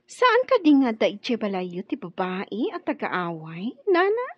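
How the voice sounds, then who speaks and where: A sly young schemer in a radio drama, insistent and calculating. Her voice is high and swings expressively up and down. The recording is dry and a little dull. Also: wildly swooping pitch, moderate pace, breathy edge, no room sound, heavily acted. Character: sly young woman conspiring to break up a marriage. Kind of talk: Ilocano radio drama acting.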